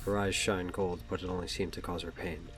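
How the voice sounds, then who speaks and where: Casting: male narrator for a scripted superhero audio drama, deep voice, faint music underneath. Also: little pitch movement, fairly quick.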